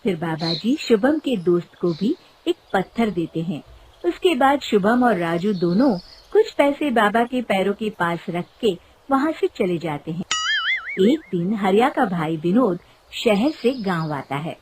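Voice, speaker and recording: Woman narrator, animated storytelling, medium pitch, scripted moral tale, faint background noise. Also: a little slow, breathy edge.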